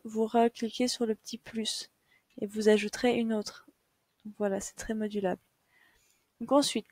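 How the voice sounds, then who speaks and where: A woman's voice: fairly high pitch, mellow, dry recording. Young woman walking you thru a form step by step, lots of ums, dry close sound. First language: French. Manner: patient, step-by-step, many fillers. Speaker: teacher. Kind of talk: screen-share tutorial lesson.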